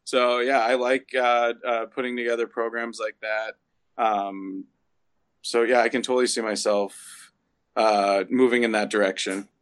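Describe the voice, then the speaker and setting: A man in his mid-thirties, a zookeeper guest talking casually in an easygoing, halting way. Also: mid-range voice, level tone, clean tone, no echo. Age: 30s. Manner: easygoing, hesitant restarts. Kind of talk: casual interview chat.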